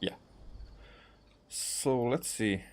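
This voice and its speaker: A man giving casual, rambling gameplay commentary as a streamer, halting and often repeating his words. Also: medium pitch, slight background noise. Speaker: game streamer. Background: game music.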